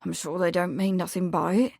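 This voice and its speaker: A woman voicing a young male servant, earnest and awkward, speaking emphatically in rough dialect grammar. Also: fairly low pitch, level tone, brisk, a little mellow, no echo, studio quality.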